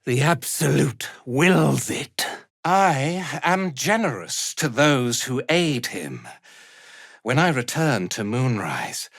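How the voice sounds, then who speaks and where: A man's voice: medium pitch, a bit bright, slightly rough, no echo, studio quality, full character voice. A man playing a haughty, cruel drow cult commander in a fantasy video game, speaking in an imperious, menacing, theatrical way. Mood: grand, self-assured. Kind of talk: dramatic fantasy game voice acting.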